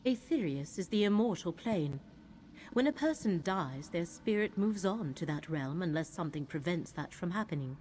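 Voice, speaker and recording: Grave, low-voiced woman mystic played by an actress. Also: animated, darkish tone, a little hiss or hum, lightly acted. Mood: grave, urgent. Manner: measured, solemn, formal. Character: grave, troubled woman mystic serving an eldritch fate god. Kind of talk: fantasy video game dialogue.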